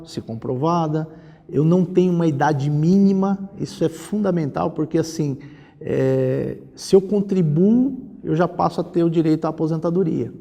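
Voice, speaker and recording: A man's voice: on the high side, vocal fry, dark tone. A man in his forties with a Brazilian accent, explaining things conversationally as an interview guest and often checking for agreement. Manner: explanatory, often seeks agreement with tag questions. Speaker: interview guest, social security lawyer. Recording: reverberant hall-like room.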